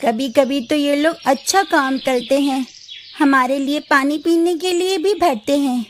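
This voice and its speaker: A woman's voice: sits high, a little slow, slight background noise, voice pushed up. A woman voicing a small, kind female bird with a childlike, chatty manner in a children's cartoon story. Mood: musing, grumbling.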